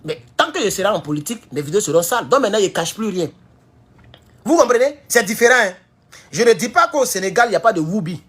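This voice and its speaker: A man with an Ivorian French accent giving a heated political commentary, jumping from loud high outbursts to low mutters. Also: big pitch swings, quick pace, husky. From Ivory Coast. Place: at home in California.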